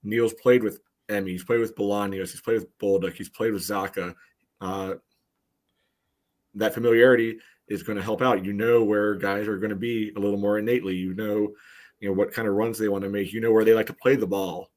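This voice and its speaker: A man talks through sports analysis as a podcast panelist. His voice is a little low, and his delivery is casual and conversational. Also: steady tone, brisk, darkish tone.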